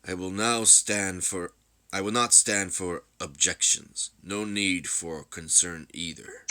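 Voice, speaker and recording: Man acting grave, imperious anime emperor, low. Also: bright, forceful tone, clear, resonant tone, heavily acted. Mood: stern. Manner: slow, commanding, grave. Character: solemn, imperious emperor of an invading army.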